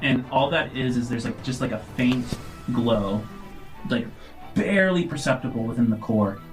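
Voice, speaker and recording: A man's voice: mid-range voice, normal speed, faint music behind. A man narrating a tabletop game as game master, descriptive and feeling his way through phrases.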